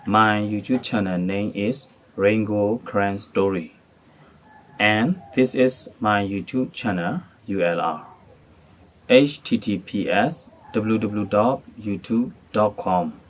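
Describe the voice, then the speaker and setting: An English-speaking man from Thailand, making a formal, careful prepared appeal as a channel creator. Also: lowish voice. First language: not English. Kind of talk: prepared spoken appeal to camera.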